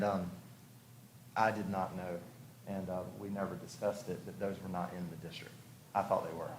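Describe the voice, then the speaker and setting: A man giving an informal explanatory presentation at a public hearing, conversational and thinking aloud as he goes. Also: fairly low pitch. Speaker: house designer presenting to a review commission. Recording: echoey room, clearly audible background noise.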